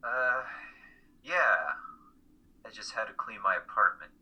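A man's voice: lowish voice, expressive, slow pace, pushed and bright, husky, heavily acted, low-quality recording. A man acting a tired, subdued male college student in a dramatic reading, speaking hesitantly in short replies. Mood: reserved. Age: young adult. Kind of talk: radio-play style dramatic reading.

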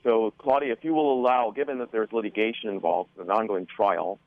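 A man's voice: lowish voice, fairly even intonation, brisk, dry recording, phone-quality sound. A man speaking as an interview guest in a careful, diplomatic, somewhat hesitant way. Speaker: school board member, interview guest. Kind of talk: measured interview answers.

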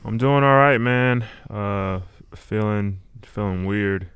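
A man in his late twenties chatting casually as an interview guest, halting and meandering as he reflects. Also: lowish voice, a bit bright, clean tone, no room sound, clean studio sound. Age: late 20s. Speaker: interview guest, music artist. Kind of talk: casual chat.

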